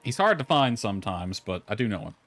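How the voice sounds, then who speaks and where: Laid-back man over soft background music. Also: fairly low pitch, sing-song, fairly quick. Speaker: gaming video creator. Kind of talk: casual gameplay commentary, reading game dialogue aloud.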